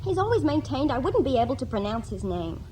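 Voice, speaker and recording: A woman's voice: high-pitched, sing-song, a little fast, mellow. An actress playing a feisty, exasperated young woman in a radio drama, indignant and plain-spoken. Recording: faintly noisy 1980s radio drama.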